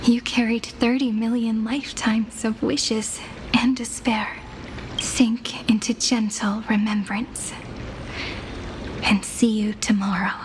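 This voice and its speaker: A woman voicing a gentle, mysterious woman guide in a game cutscene, speaking softly and near a whisper. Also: a little high, flat delivery, slow pace, background noise. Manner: soft, breathy, near-whispered. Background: game music.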